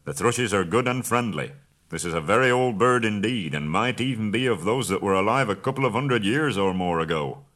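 A man voicing a proud dwarf leader who speaks firmly and commandingly in a low voice. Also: lively intonation, a little fast, fairly bright tone. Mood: stern.

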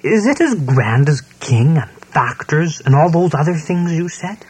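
A man voicing a gentle, slow-witted teddy bear with a husky voice and a humble, hesitant, puzzled way of speaking. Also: fairly high pitch, lively intonation, voice pushed up.

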